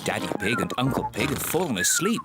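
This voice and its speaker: A man with a British accent acting as the kindly storyteller of a preschool cartoon, in measured, simple sentences. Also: medium pitch, huge pitch swings. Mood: friendly. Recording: music behind the voice.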